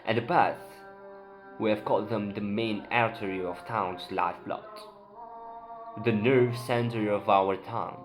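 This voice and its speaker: A man playing an excitable, proud town doctor in an amateur dramatic play reading, with an emphatic, declamatory delivery. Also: fairly low pitch, measured pace, close to an everyday voice, low-quality recording. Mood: indignant. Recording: voice over prominent background music.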